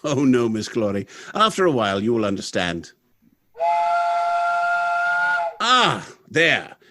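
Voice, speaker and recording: A man's voice: mid-range voice, sing-song, normal speed, sharp tone. An actor playing a proud, unsentimental robot factory manager in an old-time radio drama, theatrical and confident as he explains things. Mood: breezy, boastful. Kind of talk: live recreation of 1930s radio drama.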